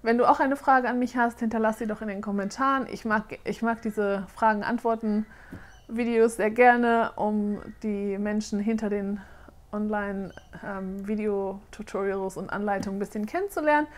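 A woman in her thirties from Germany, chatting casually on camera in a question-and-answer vlog and often pausing with fillers as she searches for words. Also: medium pitch, steady tone. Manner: chatty, hesitant with frequent fillers. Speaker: doll-making vlogger. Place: living room, seated on a sofa.

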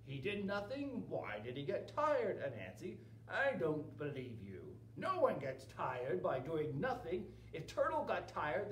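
Man playing a stern, deliberate warthog judge. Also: a little high. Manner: deliberate, questioning. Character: stern, skeptical male warthog judge, fair-minded. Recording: roomy with steady background noise.

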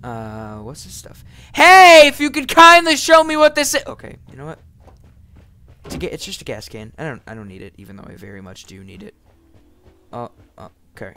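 A teenage boy with a nasal, scratchy voice from being sick, commenting on his gameplay in a casual, animated, rambling way. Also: extremely high pitch, fairly quick. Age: teens. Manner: animated, rambling, breaks into shouting and muttering. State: sick, congested voice with cracks. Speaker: gaming YouTuber. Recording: clear background noise, clicks.

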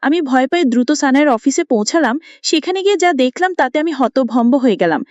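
A woman's voice: high-pitched, expressive, a little fast, darkish tone, no room sound, muffled recording. A woman reading a scripted romantic story aloud in the first person, as an audio story narrator.

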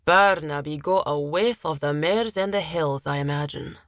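A woman voicing a mature male officer in a storybook, measured and commanding, amused and lenient, with a lilting accent. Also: low voice, a bit bright, dry recording, clean studio sound. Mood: amused, easygoing.

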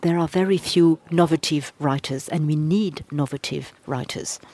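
An interpreter relays audience remarks in real time at a panel discussion. The voice sits at an ordinary middle pitch. It stalls on fillers and restarts half-finished phrases as it catches up. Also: moderate pace, a little mellow. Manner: hesitant, frequent uh fillers and restarts. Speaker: conference interpreter. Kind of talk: simultaneous interpretation of audience questions.

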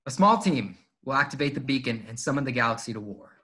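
A man playing a confident rebel commander briefing his troops, brisk and earnest. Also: mid-range voice, animated, sharp tone. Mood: resolute. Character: confident male resistance pilot-commander giving a briefing. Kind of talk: fan table read of a film script.

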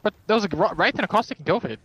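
A man's voice: high-pitched, expressive, very fast, a bit bright, husky, dull audio. A man playing in an esports team, making urgent, repeated callouts and swearing in the heat of a match. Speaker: esports player calling plays. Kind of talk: excited in-game team callouts.

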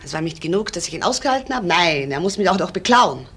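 A woman's voice: fairly low pitch, sing-song, quick pace, fairly bright tone. A woman playing a brusque, defiant call girl in a crime radio play, speaking curtly. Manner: curt, blunt, defiant.

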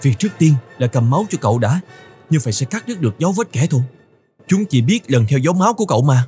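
Cartoon antelope, male actor, calm, kind, steady reassuring delivery, background music. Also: medium pitch, fast, natural voice. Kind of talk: cartoon voice acting.

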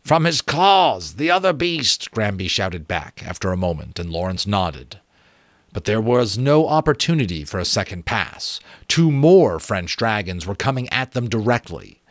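A man in his thirties with a low voice reads an adventure novel aloud. His delivery is expressive, with the odd small stumble. The sound is close and dry. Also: normal speed, no room sound. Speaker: audiobook reader. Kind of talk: dramatic reading of an adventure novel. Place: small home room with bookshelves. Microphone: close desk microphone.

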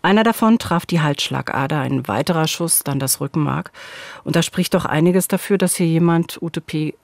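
A woman's voice: low-pitched, moderate pace, gravelly, dry recording. A woman in her forties presenting a true-crime story as scripted narration in dialogue, at a studio microphone. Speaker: true-crime podcast host. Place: small dark studio. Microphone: studio boom microphone, headphones.

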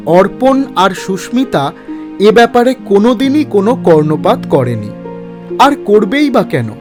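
A man narrating a horror story in a slow, measured, dramatic way. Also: sits high. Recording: narration over background music.

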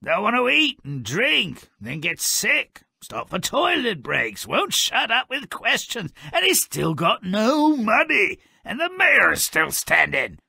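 An actor playing a gleefully malicious, sardonic little fairy-tale troublemaker in a video game, with a raspy, gravelly voice and a theatrical, gloating delivery. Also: male voice, high-pitched, huge pitch swings, slow pace, few pauses, no room sound, studio quality, full character voice. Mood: gleeful, loud. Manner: sardonic, gloating, theatrical, swings from murmur to shout. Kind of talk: theatrical scripted game narration.

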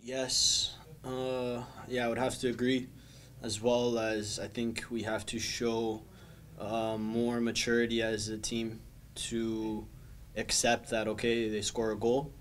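A young man in his twenties, a soccer player answering press questions after a match in a measured, thoughtful way with frequent ums. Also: mid-range voice, level tone, fairly bright tone, a little hiss or hum. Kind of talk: post-match press interview. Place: small indoor press room, backdrop wall.

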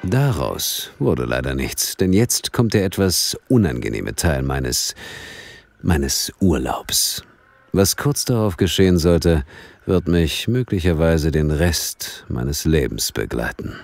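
Very deep, grumbling male detective. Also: sing-song, takes it slowly, gravelly, dry recording, exaggerated voice. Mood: wry, ominous. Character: wry, grumbling male police detective on stakeout. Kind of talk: German audio drama acting, partly narrating.

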